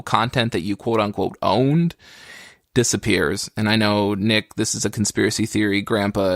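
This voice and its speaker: Man, low pitch, podcast co-host, casual joking talk, wry exaggeration, no room echo. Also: studio quality. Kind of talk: casual comedic chat.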